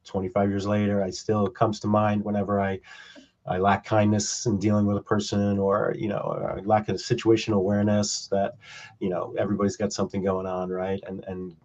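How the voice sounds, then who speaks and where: A man around fifty, a guest on a casual interview, telling stories in a reflective, measured way. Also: on the low side, monotone, darkish tone. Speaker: guest, services manager. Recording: dull lo-fi call audio.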